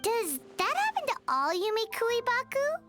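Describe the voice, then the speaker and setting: A tiny fairy companion with an extremely high, chirpy voice. She swings excitedly up and down like a child in an animated game, with music playing behind her. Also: moderate pace. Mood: concerned. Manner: chirpy, refers to self in third person. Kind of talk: animated video game voice acting.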